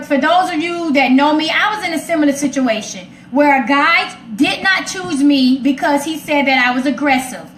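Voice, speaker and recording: High-voiced, direct woman in an echoey room. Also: fairly bright tone. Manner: direct, opinionated, emphatic repetition. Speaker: talk show host addressing live comments. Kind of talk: casual, personal relationship talk. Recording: echoey room, faint background noise.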